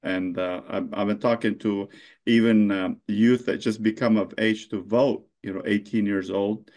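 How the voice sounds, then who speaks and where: A middle-aged man from Lebanon with a fairly low voice speaks off the cuff. He answers in a halting way, with many fillers, repeated words and restarts. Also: fairly even intonation, darkish tone. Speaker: mayor being interviewed. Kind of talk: unscripted interview answers.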